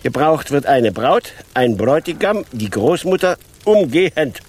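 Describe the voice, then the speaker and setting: A man's voice: a little high, sing-song, a little slow, darkish tone, slightly rough, heavily acted. An actor playing a boastful, grumpy, sly devil in a theatrical radio play, switching between threats and folksy wit. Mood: scheming, lively. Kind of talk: German radio play acting.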